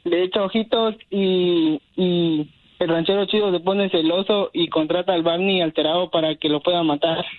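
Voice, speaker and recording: A man's voice: high-pitched, fairly even intonation, normal speed, no echo, narrow, tinny audio. A man calling into a radio show and telling a rambling story, full of hesitations. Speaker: caller. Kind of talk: call-in phone chat.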